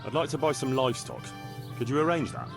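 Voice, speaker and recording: Plainspoken young medieval bailiff. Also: male voice, mid-range voice, wildly swooping pitch, quick pace, gravelly, over music. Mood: matter-of-fact. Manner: plainspoken, matter-of-fact. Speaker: village bailiff character. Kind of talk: video game cutscene acting.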